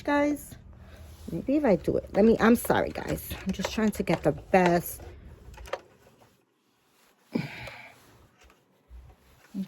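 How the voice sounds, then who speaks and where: A woman's voice: mid-range voice, dark tone, a little hiss or hum, rough recording. A woman with a Spanish-influenced accent, talking casually in a home vlog. Her talk is chatty and apologetic, full of fillers and restarts, and she moves closer to and farther from the camera mic. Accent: Spanish-influenced English. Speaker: doll collector vlogger. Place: home apartment.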